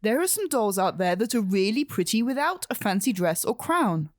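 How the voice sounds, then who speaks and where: A woman's voice: medium pitch, very animated, normal speed, dry recording, studio quality, natural voice. A woman playing a weary, exasperated but patient mother in a radio drama, chiding as she speaks. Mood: gently chiding.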